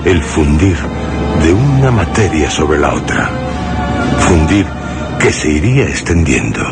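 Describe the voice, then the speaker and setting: An actor from a Spanish radio drama playing a haunted, horrified man who recounts his ordeal in grave, halting phrases with a Castilian accent. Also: low voice, heavily acted. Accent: Spain. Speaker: first-person narrator in a radio drama. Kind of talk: suspense and horror radio drama narration. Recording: music under the voice. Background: prominent music.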